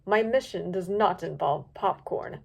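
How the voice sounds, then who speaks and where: A haughty, imperious pony sorceress, clipped and exasperated, her voice pitched lower than the rest of the storybook cast. A woman acts her out in a reading. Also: unhurried, creaky, darkish tone, breathy edge, full character voice. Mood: stern.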